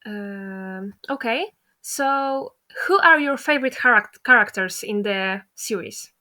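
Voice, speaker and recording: A woman's voice: mid-range voice, expressive, slow pace, sharp tone. A woman interviewer chatting casually as she asks questions and comments.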